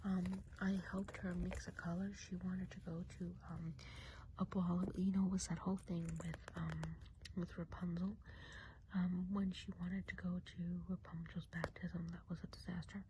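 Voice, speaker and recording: Softly whispering woman as a friendly woodland witch, noisy recording. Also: on the low side, flat delivery, close to an everyday voice. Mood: gentle, chatty. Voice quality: soft, breathy whisper. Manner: gentle, unhurried, chatty and hesitant. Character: friendly, chatty Christmas witch of the woods. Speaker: ASMR role-play host. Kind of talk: whispered ASMR role play.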